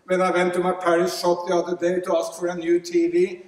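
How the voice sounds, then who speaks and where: A man's voice: sits high, completely flat delivery, a little fast. A middle-aged man with a Norwegian accent giving a conference keynote at a podium, earnest and fond of gesturing, often restarting with fillers. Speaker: keynote speaker. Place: large lecture hall. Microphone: podium microphone, filmed from afar. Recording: echoey hall from far off.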